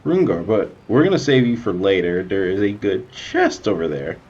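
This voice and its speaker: A man's voice: on the low side, sing-song, moderate pace, a little mellow. A young man giving casual let's play commentary as host of a gaming video. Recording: dull, band-limited mic, faint game music. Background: game music.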